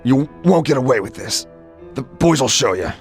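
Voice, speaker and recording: A man playing a defiant, bitter union striker in a video game, gravelly and full of contempt. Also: medium pitch, expressive, normal speed. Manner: bitter, insulting. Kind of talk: dramatic video game acting. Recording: faint music behind the voice.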